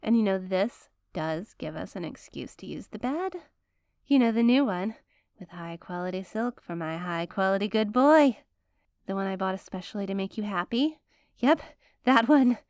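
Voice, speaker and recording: A woman's voice: mid-range voice, animated, moderate pace, darkish tone, no room sound, natural voice. A woman playing a rich, possessive, doting girlfriend in an intimate ASMR roleplay, sweet-talking one listener in a coaxing way. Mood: eager, coaxing.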